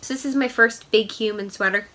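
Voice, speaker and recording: A woman's voice: fairly high pitch, brisk, sharp tone. A young woman hosts a knitting podcast in a casual, chatty way, full of ums and upbeat asides, and she has a head cold. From Ireland. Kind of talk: casual show-and-tell chat.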